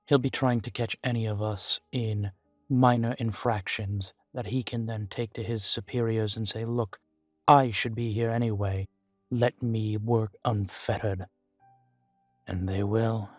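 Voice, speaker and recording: A man playing a guarded, world-weary vampire informant in an audio roleplay, speaking in formal, measured phrases, proud yet fond. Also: lowish voice, steady tone, no echo. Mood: grave, wary. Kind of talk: scripted audio roleplay to the listener. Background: background music.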